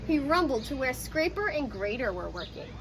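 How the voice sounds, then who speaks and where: A woman reading a children's story aloud as an expressive storyteller. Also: high-pitched, moderate pace, background noise.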